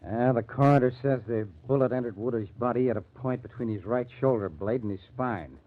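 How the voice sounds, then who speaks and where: An actor playing a probing, skeptical murder investigator in an old-time radio crime drama. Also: male voice, mid-range voice, a little fast, natural voice. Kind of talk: 1940s radio acting. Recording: tinny, narrow-band old radio recording.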